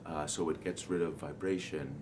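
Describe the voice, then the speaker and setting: Male trade show distributor, very low pitch, explanatory and halting, clearly noisy room. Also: fairly even intonation. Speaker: audio distributor presenting products. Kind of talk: informal trade show product presentation.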